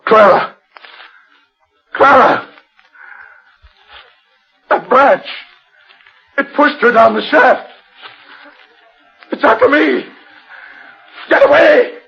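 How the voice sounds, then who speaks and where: An actor playing an earnest, fearful survivor in a melodramatic 1940s radio play, with proper old-fashioned speech. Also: male voice, very high-pitched, drawn-out words, creaky, long pauses, slightly rough, full character voice. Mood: terrified. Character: earnest male survivor, urging calm, growing fearful. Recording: muffled old radio broadcast, music behind.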